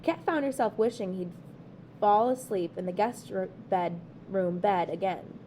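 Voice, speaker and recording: A woman teacher reading a children's book aloud in expressive narration, phrased clearly for children. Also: medium pitch, measured pace, slight background noise.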